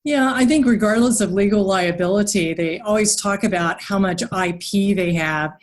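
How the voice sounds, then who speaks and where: A woman's voice: medium pitch, moderate pace. Woman, conversational podcast host.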